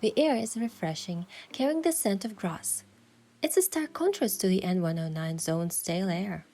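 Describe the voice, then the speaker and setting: A woman playing an earnest, playfully cheeky young hunter heroine, mixing reflective first-person story narration with expressive video game dialogue. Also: mid-range voice, normal speed, natural voice. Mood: reflective, descriptive.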